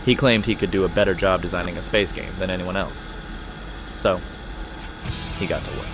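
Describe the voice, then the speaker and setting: A man's voice: low-pitched, fairly even intonation, moderate pace, lightly acted. An actor playing a man who narrates an eerie first-person account about his programmer friend in an audio drama. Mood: confiding. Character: male storyteller recounting his programmer friend's eerie tale. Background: music.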